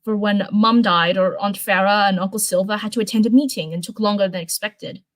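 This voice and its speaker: A woman's voice: mid-range voice, steady tone, brisk, natural voice. A woman voicing a playful, teasing teenage boy in a read-aloud story, cheeky and casual. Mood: curious. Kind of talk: storybook character voice.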